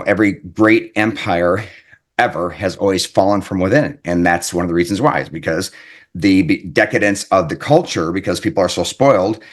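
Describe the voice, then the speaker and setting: Earnest male author, slightly low voice, reflective talk that circles back on its points. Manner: earnest, repeats points, tags lines with "right?". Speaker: author and life coach. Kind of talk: reflective self-help talk.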